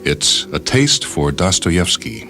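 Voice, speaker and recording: Super deep guy introducing a radio story show, measured, music clearly playing behind. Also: very animated. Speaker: radio program host. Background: background music.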